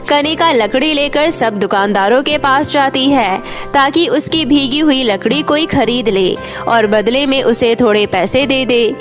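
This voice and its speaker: A woman narrator reading a scripted cartoon moral story. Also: sits high.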